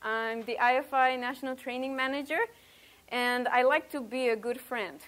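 A woman's voice: high-pitched, fairly even intonation, moderate pace, a bit bright, poor audio. A woman in her thirties from Romania, leading a church workshop in an outgoing, chatty way with many ums. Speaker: workshop leader. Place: workshop room, indoors.

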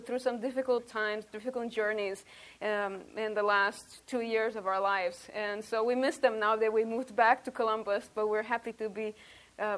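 A woman's voice: a little high, fairly bright tone. A woman in her thirties from Romania, leading a church workshop in an outgoing, chatty way with many ums. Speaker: workshop leader. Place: workshop room, indoors.

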